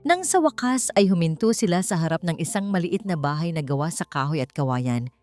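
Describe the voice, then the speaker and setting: A woman's voice: fairly low pitch, animated, a little fast, a little mellow, no echo. A woman audiobook narrator reading a scripted dramatic story. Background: background music.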